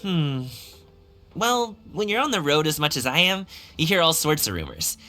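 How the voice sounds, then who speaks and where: A man's voice: a little high, big pitch swings, normal speed, bright, forceful tone. A man voicing a friendly, earnest young male dancer in a video game, speaking in an easygoing, casual way. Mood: amused, easygoing. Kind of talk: video game character acting. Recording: faint game music behind the voice. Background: faint game music.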